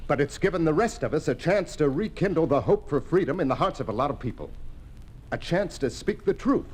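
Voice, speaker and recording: An actor playing an earnest, defiant young farmers' leader, insistent and persuasive in 1950s radio drama style. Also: male voice, mid-range voice, a little mellow, close to an everyday voice. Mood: rousing, determined.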